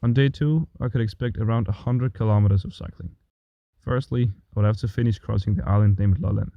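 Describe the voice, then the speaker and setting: A man's voice: fairly low pitch, a little fast, creaky, no room sound. A young man with a Danish accent narrates his cycling trip in a scripted voice-over, with a dry, self-mocking humor. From Denmark. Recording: dull, dry voice-over with faint music.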